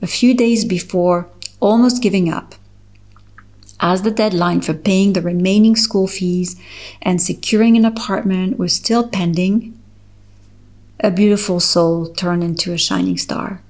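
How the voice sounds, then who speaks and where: A middle-aged woman with a French accent, a French native speaker from Switzerland, reading her own personal essay aloud in a slow, measured, earnest way. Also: medium pitch, fairly even intonation, darkish tone. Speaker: author reading her article.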